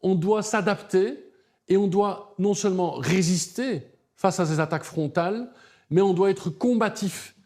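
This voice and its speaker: Mellow-voiced man, earnest and emphatic. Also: high-pitched, unhurried. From Belgium. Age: middle-aged. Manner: articulate, emphatic, builds argument in lists. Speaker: human rights lawyer, interview guest. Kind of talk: earnest interview answers.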